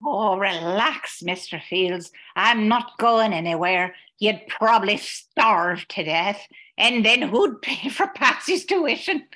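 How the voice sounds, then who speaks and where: A woman's voice: medium pitch, animated, takes it slowly, sharp tone, full character voice. An older woman playing a loyal, wry housekeeper and cook in an amateur radio mystery. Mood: wry, measured. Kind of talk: amateur old-time radio mystery acting.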